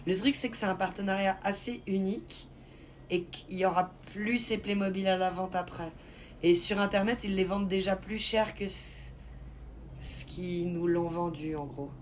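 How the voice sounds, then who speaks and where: A woman's voice: mid-range voice, steady tone, moderate pace, a little hiss or hum. A woman with a Swiss French accent, bantering and laughing in a casual live-stream chat and greeting viewers.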